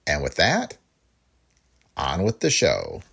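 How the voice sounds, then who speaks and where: Male podcast narrator, low gravelly voice, scripted read with big swings in pitch. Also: wildly swooping pitch, takes it slowly, fairly bright tone. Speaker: podcast host.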